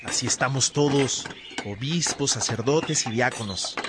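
A man portrays an earnest churchman talking with brothers in a radio play. His pitch is medium, and there is noticeable background noise with animal sounds. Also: measured pace, natural voice. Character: Evodio, earnest male churchman among brothers in faith. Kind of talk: radio drama acting.

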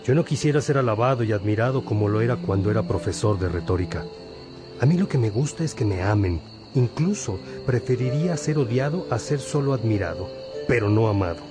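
An actor playing a wise, patient priest and teacher in a religious radio drama, gently questioning and instructing. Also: male voice, fairly low pitch, lively intonation, a little fast, lightly acted. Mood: probing, earnest. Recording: music behind the voice.

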